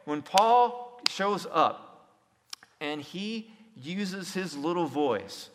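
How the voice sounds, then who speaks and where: A man's voice: a little high, lively intonation, drawn-out words, a bit bright. A man preaching a sermon in an emphatic way, repeating phrases and putting rhetorical questions to his listeners. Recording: echoey hall with long reverb.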